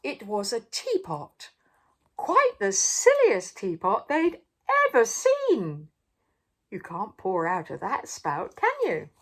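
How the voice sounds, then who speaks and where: Slow, highly animated older female storyteller. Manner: expressive, asks the listener questions, dramatic pauses. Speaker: storyteller reading a children's book. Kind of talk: animated read-aloud to young children.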